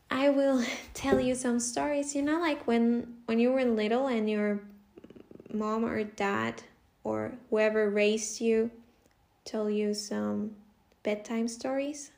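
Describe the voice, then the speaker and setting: A woman's voice: fairly high pitch, vocal fry. A woman in her twenties from Costa Rica, a native Spanish speaker, hosting a casual solo podcast in a chatty way, often laughing and restarting her sentences. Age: 20s. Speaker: podcast host.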